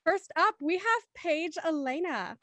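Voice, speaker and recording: Female host, high lively voice, playful teasing banter, close dry sound. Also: unhurried, no room sound. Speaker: host introducing cast. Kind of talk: casual panel hosting, bantering.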